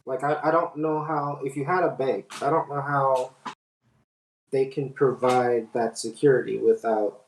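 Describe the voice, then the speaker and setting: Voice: medium pitch, fairly even intonation, measured pace, slight background noise. A podcast panelist explaining things in casual discussion, recorded at some distance in a room. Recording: roomy, distant from the mic.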